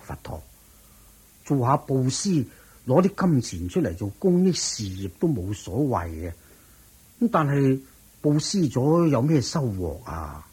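An actor playing a thrifty, earnest, somewhat naive rich merchant in a radio drama, questioning and hesitant, with a Hong Kong Cantonese accent. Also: male voice, medium pitch, sing-song, unhurried, darkish tone, breathy edge, full character voice, poor audio. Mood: doubtful, puzzled.

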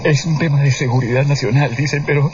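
An actor playing a frightened army deserter in a radio drama, pleading politely in broken, breathy phrases. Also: male voice, mid-range voice, steady tone, quick pace, lo-fi sound, slight background noise, natural voice. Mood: shaken, pleading.

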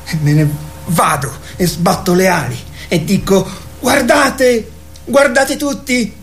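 A woman's voice: medium pitch, slow pace, slight background noise, natural voice. A woman acting a young immigrant girl, dreamy and stubborn, in an Italian radio play. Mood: soaring, excited.

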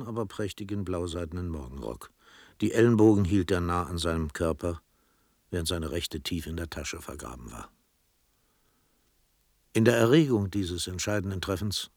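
Deep-voiced male radio drama narrator. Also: animated, normal speed, no echo, clean studio sound.

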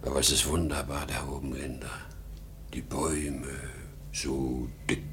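A man's voice: rumbling low, level tone, a little slow, a bit bright. An actor playing an exhausted, rambling salesman past sixty, proud and erratic, in a vintage German radio drama. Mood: dreamy, troubled. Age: 60s. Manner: weary, rambling, given to boastful outbursts. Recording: noisy vintage 1950 radio recording.

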